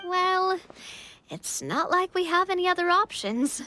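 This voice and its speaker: A woman's voice: extremely high pitch, animated, unhurried, pushed and bright, slight background noise, exaggerated voice. A woman playing a cheerful, confident young adventurer guide in a video game, upbeat, playful and reassuring. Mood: playful, assured. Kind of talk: video game character acting.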